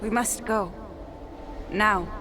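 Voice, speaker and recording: A woman playing a fierce, commanding alien warrior queen in a video game, with grand, drawn-out delivery. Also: mid-range voice, steady tone, background noise, lightly acted. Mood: grim, urgent. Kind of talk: dramatic video game acting.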